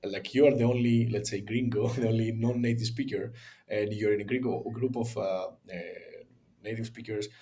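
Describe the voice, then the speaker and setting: A man's voice: lowish voice, steady tone, moderate pace, dark tone. A man talking casually as a podcast co-host, hesitant and searching for words. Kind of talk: casual chat.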